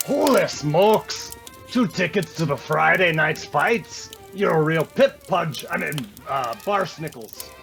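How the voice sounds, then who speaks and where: An actor playing a brash, excitable, slangy guy in an old-time radio comedy, with a gravelly voice. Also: a little high, takes it slowly, faint music behind, full character voice. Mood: thrilled, gruff.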